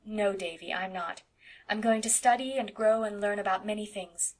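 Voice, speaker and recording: A soft-spoken, kindly young woman in a storybook reading, speaking quietly and patiently, as a woman narrator gives her lines. Her tone stays low-key and tender. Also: normal speed, lightly acted, low-quality recording. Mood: gentle, patient. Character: gentle, imaginative young woman.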